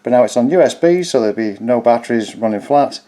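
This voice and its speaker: A man's voice: medium pitch, animated, quick pace, mellow. A man hosting a casual DIY electronics tutorial, explaining each step in a plain-spoken, informal way. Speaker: DIY electronics video host.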